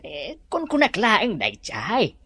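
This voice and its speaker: A woman's voice: medium pitch, wildly swooping pitch, takes it slowly, a bit bright, slightly rough, full character voice. A woman playing a bumbling, wheedling resort employee in a comic Ilocano audio drama. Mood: nervous, sheepish.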